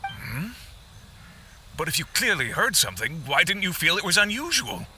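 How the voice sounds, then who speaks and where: A man's voice: a little high, sing-song, normal speed, pushed and bright, slight background noise, exaggerated voice. A man playing an earnest, polite but troubled business owner in a video game, asking inquisitive questions. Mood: puzzled. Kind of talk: video game cutscene acting.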